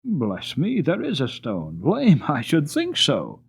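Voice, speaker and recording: A man's voice: on the high side, wildly swooping pitch, slow, vocal fry, slightly rough, pitched above the performer's own voice, exaggerated voice. A man voicing a kindly but firm farmer who speaks politely and with emphasis. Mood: concerned. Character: kindly, firm middle-aged male farmer. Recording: tinny phone-like audio.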